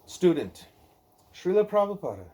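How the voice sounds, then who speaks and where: A man's voice: high-pitched, big pitch swings, normal speed, warm tone. Man reading a spiritual book aloud. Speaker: book reader, parent. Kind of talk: home read-aloud of a spiritual dialogue.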